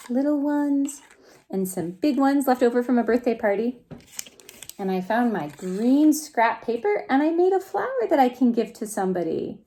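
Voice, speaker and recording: Female preschool storyteller, mellow and unhurried, very animated pitch, gentle and engaging with little listeners. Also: slight background noise. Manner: gentle, asks the listening children questions. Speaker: preschool story time reader. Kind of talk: expressive picture-book read-aloud for young children.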